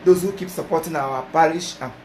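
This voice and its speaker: A man's voice: on the high side, a little fast. A man with a Nigerian accent hosting a playful comedy chat, bantering and laughing often. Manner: animated, switching languages, laughing often. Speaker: comedy skit host. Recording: echoey room with noise.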